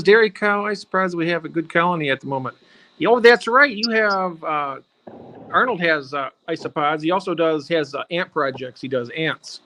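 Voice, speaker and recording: Easygoing host with a higher male voice. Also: pushed and bright, low-quality recording. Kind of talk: casual chat.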